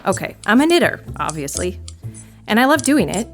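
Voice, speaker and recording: A woman's voice: medium pitch, very animated, moderate pace, over music. An actress playing a frazzled, wry woman knitter, telling her story in a confiding, sarcastic, exasperated monologue. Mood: confiding, rueful. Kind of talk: audio drama monologue, conversational storytelling to listener.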